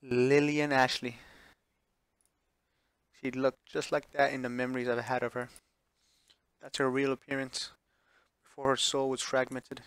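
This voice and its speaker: A man's voice: mid-range voice, flat delivery, unhurried, pauses often, fairly bright tone, clean tone, dry recording. Man reading a game aloud, dry close sound. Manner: stumbles over words and corrects himself while reading. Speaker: streamer reading a visual novel aloud. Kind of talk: live playthrough read-aloud with offhand reactions.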